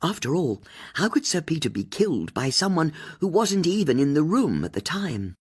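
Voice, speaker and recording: Husky, highly animated audiobook narrator. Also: normal speed. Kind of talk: scripted mystery fiction narration.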